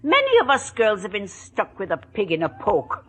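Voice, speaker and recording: A woman's voice: medium pitch, huge pitch swings, normal speed, fairly bright tone. A woman playing a coy, effusive, chatty middle-aged widow in a 1940s radio comedy. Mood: coy, sympathetic. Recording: noisy old radio broadcast.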